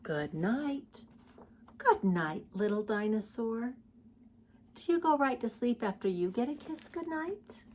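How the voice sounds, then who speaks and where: A woman hosting a children's storytime, reading picture books aloud in an expressive, sing-song way and talking directly to young listeners. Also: on the high side, slow, slight background noise.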